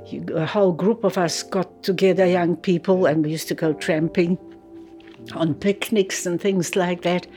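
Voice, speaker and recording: An older woman from Poland, slowly and thoughtfully recalling her life in an interview, with soft laughs. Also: fairly low pitch, steady tone, faint music behind. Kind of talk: reflective personal recollection.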